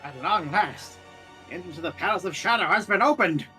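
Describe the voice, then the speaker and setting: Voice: medium pitch, lively intonation, brisk, bright, forceful tone, soft background music. A streamer reading as a solemn game guide.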